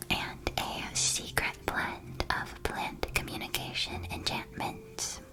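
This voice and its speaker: A woman performing an ASMR roleplay as a friendly magical candy shopkeeper, speaking in a soft, breathy whisper with gentle, unhurried sales patter.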